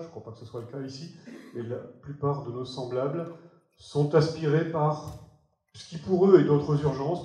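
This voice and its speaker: French guy doing a keynote in a big theater, warm mellow voice, emphatic, echoey hall. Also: medium pitch. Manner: earnest, emphatic, moving around the stage and gesturing. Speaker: keynote speaker. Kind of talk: conference keynote talk. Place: large theater stage. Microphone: headset mic. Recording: roomy hall sound.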